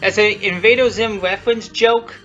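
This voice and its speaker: A person giving rambling, unscripted commentary on their own video, halting and repeating themselves, with blunt, self-deprecating swearing. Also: medium pitch, fairly even intonation, fairly bright tone. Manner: halting, repetitive, often restarting sentences. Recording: muffled lo-fi audio, music behind.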